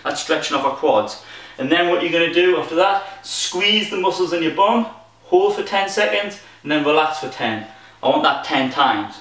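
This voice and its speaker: A young man with a thick Geordie accent, coaching a home workout from his front room, talking fast and restarting sentences when he gets excited. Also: a little high. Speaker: fitness coach. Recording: echoey room, voice from far off.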